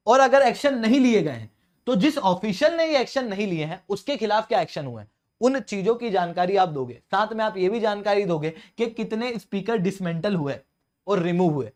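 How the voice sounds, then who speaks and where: An Indian teacher delivers an explanatory lecture at a mid-level pitch. The delivery is didactic, setting up questions and then answering them. English technical terms are mixed in throughout. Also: lively intonation, moderate pace, a little mellow. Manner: mixes in many English terms. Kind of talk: explanatory exam-prep lecture.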